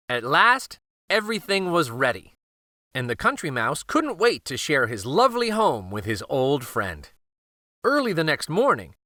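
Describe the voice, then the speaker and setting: A man narrating a children's storybook aloud, expressive and careful, with dramatic pauses. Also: on the high side, no echo, clean studio sound.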